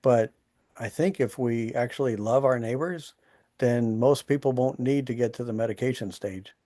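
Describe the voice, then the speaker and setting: A man's voice: mid-range voice, normal speed, warm tone, no room sound. Plainspoken man, dry close sound. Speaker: town hall speaker on disaster resiliency. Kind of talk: casual conversational explaining. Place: online town hall call.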